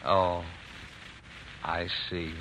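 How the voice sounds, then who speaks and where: An actor playing a gentle, weary father with a halting way of talking in a 1940s radio drama. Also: deep voice, flat delivery. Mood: weary, subdued. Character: gentle, weary, ailing Irish-American father of a girl. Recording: noisy old 1940s radio broadcast.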